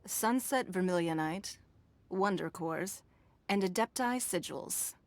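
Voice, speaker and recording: An actress playing a composed, powerful businesswoman who speaks in measured, formal, authoritative phrases. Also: on the low side, no echo. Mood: composed, businesslike. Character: poised, powerful businesswoman leader, exacting and composed. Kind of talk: video game voice acting. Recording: background music under dialogue.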